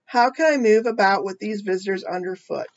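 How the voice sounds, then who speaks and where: A woman reading the lines of a gentle, patient male muskox who calmly sets firm rules. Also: mid-range voice, fairly quick, highs cut off, lightly acted. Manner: calm, firm, lays down rules.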